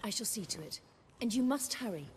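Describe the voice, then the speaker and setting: An anxious, dutiful woman in a fantasy game, played by an actress. Her high voice moves animatedly, and there is clear noise behind it. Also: expressive, a little fast, close to an everyday voice. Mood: worried. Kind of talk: dramatic video game acting.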